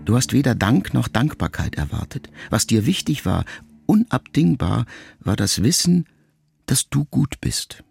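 A man reading a literary letter aloud as an audiobook narrator, in a slow, solemn and reflective way with long pauses. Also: mid-range voice, big pitch swings, vocal fry, a little mellow, breathy edge, dry recording.

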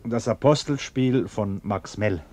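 An actor playing a defensive, sententious middle-aged bourgeois husband in a German radio play, blustering and indignant. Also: male voice, on the low side, measured pace, darkish tone. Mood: earnest.

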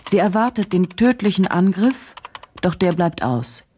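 An actress playing a sober journalist who narrates a grim case in a radio drama, measured, factual and detached. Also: female voice, lowish voice, big pitch swings, warm tone, slight background noise. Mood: sober, tense.

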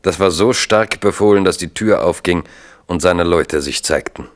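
A man's voice: sits low, moderate pace. A man narrating a radio play in a grave, solemn storytelling manner. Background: guitar music.

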